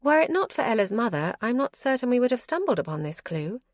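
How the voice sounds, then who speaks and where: A woman's voice: a little high, very animated, fast talker, dry recording, clean studio sound, voice pushed up. A woman voicing an earnest young noble wife who speaks in an eager, insistent way.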